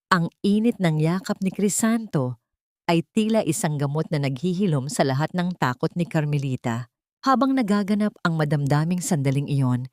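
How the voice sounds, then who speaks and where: Woman narrating drama, lower voice, dry studio. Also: darkish tone, no echo. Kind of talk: scripted dramatic story narration.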